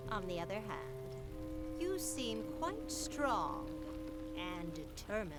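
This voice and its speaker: A woman's voice: medium pitch, very animated, takes it slowly. A woman voicing a mysterious, scheming voodoo priestess in a video game, theatrical and enticing. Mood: sly, challenging. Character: mysterious, scheming voodoo priestess seeking her lost power. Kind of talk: video game character acting. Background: music.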